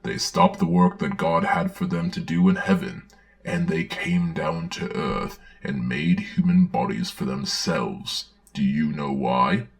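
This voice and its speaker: A man's voice: low-pitched, gravelly. A man giving a dramatic storybook reading with wry, sardonic commentary. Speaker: host, storyteller.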